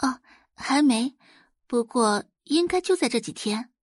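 A woman acts out a young rich-family daughter for an audio drama. She speaks in a soothing, coaxing way, all consideration on top and scheming underneath. The pitch moves a lot and the sound is dry and close. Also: lively intonation, a little slow, no room sound. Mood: sweet, coaxing. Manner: gentle, coaxing.

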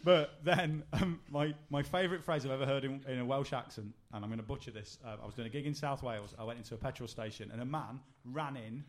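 A man's voice: medium pitch, steady tone, fast, few pauses. A man hosting a casual podcast, running the segments and telling anecdotes with laughs. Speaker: podcast host. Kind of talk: casual chat.

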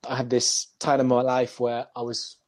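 A man's voice: mid-range voice, completely flat delivery, moderate pace. A young French man, a guest actor in a podcast interview, talking in a loose, reflective way with many fillers. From France, Paris.

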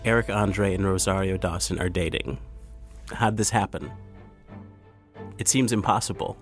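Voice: deep voice, moderate pace, faint music behind. A podcast co-host and editor joking and chatting casually, laughing often. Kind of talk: casual chat.

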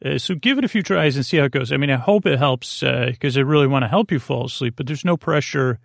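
Creaky gravelly guy doing a sleepy podcast ramble, keeps restarting and trailing off, soothing, dry close sound. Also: lively intonation, no room sound. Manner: meandering, halting, trailing off, repeating himself; soothing, low-pressure. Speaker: podcast host. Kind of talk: rambling bedtime monologue to help listeners sleep.

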